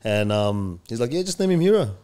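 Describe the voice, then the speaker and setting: A man's voice: mid-range voice, big pitch swings, fairly quick, darkish tone, clean tone. A young man from Indonesia, a podcast guest, talking in a laid-back, rambling way with lots of fillers. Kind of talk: casual chat.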